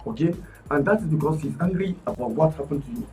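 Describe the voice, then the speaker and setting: A man with a Nigerian accent, arguing politics on a radio talk panel in an animated, emphatic way, often restarting his words. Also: a little high, quick pace, recorded from a distance, soft background music. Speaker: political commentator guest. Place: radio studio.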